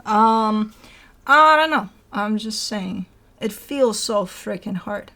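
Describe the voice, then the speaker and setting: A woman with a mid-pitched voice reads tarot cards as host. She talks conversationally and loosely, leaning on key words. She often repeats short phrases and poses rhetorical questions. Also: expressive, slow, bright, forceful tone. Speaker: tarot reader hosting her own show. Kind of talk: informal, rambling tarot card reading.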